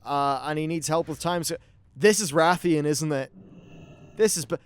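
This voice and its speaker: Male gaming host, slightly high voice, casual play-by-play, wry and free with swearing. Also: normal speed, clean tone. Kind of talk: casual game commentary.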